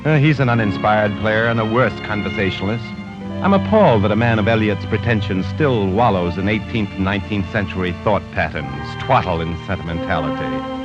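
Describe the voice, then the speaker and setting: A man's voice: fairly low pitch, fairly quick, over loud music, natural voice. An actor playing an arrogant, bookish man who scorns sentiment, in a 1940s radio drama. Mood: smug. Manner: haughty, condescending. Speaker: narrator reading his journal. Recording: muffled 1940s radio broadcast.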